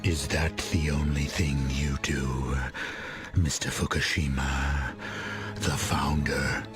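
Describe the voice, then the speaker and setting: Very deep, slow, enigmatic hitman. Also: fairly even intonation. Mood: cool, probing. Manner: terse, probing questions. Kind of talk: video game cutscene acting. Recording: music under the voice.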